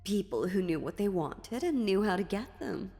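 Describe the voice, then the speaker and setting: A woman playing an ancient, sly and dominant female demon in a dark fantasy audio roleplay, taunting theatrically with deliberate pauses. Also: mid-range voice, sing-song. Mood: musing, scornful. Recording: long reverb, music underneath.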